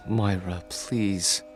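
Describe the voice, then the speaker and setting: A man's voice: low voice, steady tone, extremely slow, faint music behind. A man acting in a radio drama as a timid, lonely, awkward thirty-something who speaks hesitantly and stammers. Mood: meek reluctance.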